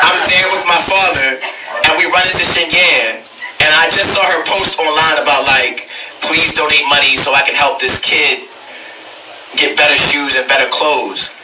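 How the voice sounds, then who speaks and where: A man with a fairly high voice gives a loose, anecdotal speech at a live event, joking and candid, repeating phrases for emphasis. He sounds a little distant in a roomy, echoing space, with music clearly playing behind him. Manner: candid, joking, frequent laughter and swearing; emphatic repetition. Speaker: poet and teacher giving a tribute speech. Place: indoor book release event. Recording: echoey room, distant mic, music behind.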